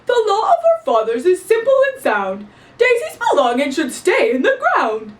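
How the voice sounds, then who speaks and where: A woman's voice: very high voice, huge pitch swings, moderate pace, echoey room, far from the mic, pitched above the performer's own voice, full character voice. A woman voicing a pompous, long-winded storybook mayor delivering a campaign speech in oratorical style. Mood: pompous, declaiming.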